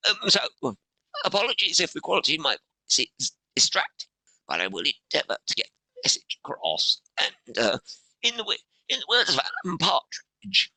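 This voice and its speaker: A middle-aged man, a podcast guest, talking with a strained, hoarse voice in halting phrases that break off and restart. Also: fairly high pitch, pushed and bright, dry recording, low-quality recording. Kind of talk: interview conversation.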